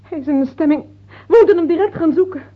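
An actress playing an anxious noblewoman in a radio play, with a husky voice and dramatic delivery. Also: high-pitched, quick pace, natural voice. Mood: anxious, urgent. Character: anxious noblewoman searching for her king. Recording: dull lo-fi old radio recording.

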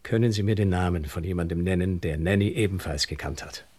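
Man, accused husband, very deep, husky, tense, insistent questioner, German radio play. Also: quick pace, a little mellow. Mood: controlled, probing. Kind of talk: German radio drama acting.

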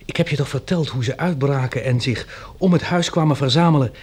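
A man's voice: mid-range voice, brisk, darkish tone, breathy edge, lightly acted. A man acting in a radio drama as a blinded survivor, inquisitive and insistent, with an emphatic, questioning way of talking. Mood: thoughtful, insistent.

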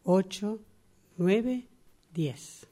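A woman's voice: mid-range voice, animated, extremely slow, mellow. A woman doing a radio acting exercise, reciting words one at a time. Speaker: radio drama workshop student.

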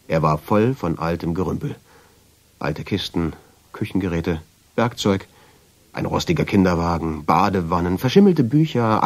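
A brooding, evasive husband in a radio play. His voice is low and a little husky, and it moves expressively as he speaks. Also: exaggerated voice. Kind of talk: German radio drama acting and first-person narration.

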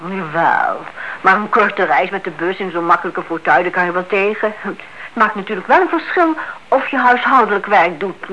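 An actress playing a brisk, impatient niece who brushes off her aunt's worries, in a radio play on a noisy old recording. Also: mid-range voice, pushed and bright, lo-fi sound, close to an everyday voice. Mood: reasoning, dismissive. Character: brisk, impatient, dismissive niece looking after her aunt.

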